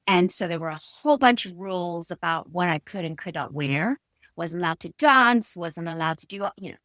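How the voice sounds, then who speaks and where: A middle-aged woman with a South African accent, answering in an interview with emphatic, expressive storytelling and personal anecdotes. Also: on the low side, no room sound. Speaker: guest, stylist and designer.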